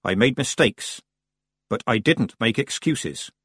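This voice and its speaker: A man's voice: medium pitch, lively intonation, takes it slowly, a bit bright, breathy edge, no room sound, clean studio sound, exaggerated voice. A man voicing a stern, blunt old country witch with firm, rustic speech in an audiobook. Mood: stern, unyielding. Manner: plain rustic grammar, firm.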